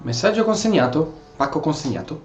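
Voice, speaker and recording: Italian male gaming streamer, excitable, fairly high and very lively pitch, roomy sound. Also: quick pace, a crowd in the background. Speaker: gaming video host. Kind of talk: casual let's play commentary with role-played replies. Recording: roomy echo, faint background noise.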